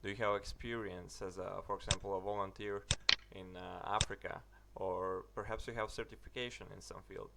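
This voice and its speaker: Low gravelly man walking through a tutorial. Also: steady tone, heavy background noise. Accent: British English. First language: English. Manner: halting, many fillers and restarts. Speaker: tutorial narrator. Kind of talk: screen-recorded tutorial walkthrough.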